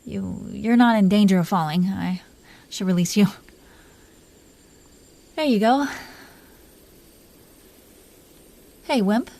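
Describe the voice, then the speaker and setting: Dragon queen , female performer: mellow, mid-pitched, soft and halting, playfully possessive and affectionate; intimate romantic audio roleplay. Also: lively intonation, gravelly. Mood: teasing, affectionate.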